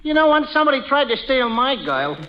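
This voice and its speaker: A noisy, muffled vintage radio recording of a streetwise comic sidekick. His voice is very high, with a slangy, blustering, wisecracking delivery. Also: very animated, quick pace. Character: streetwise, blustering tough-guy buddy, dim but scheming. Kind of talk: 1940s radio comedy acting. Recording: noisy, muffled old radio broadcast, clicks.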